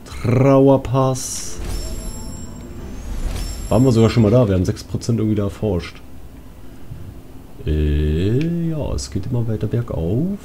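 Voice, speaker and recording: Low-voiced man commentating a game. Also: expressive, unhurried, pauses often, warm tone, a little hiss or hum. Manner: muttering to himself, crude swearing, exclamations. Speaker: let's player. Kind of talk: casual video game commentary. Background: video game music.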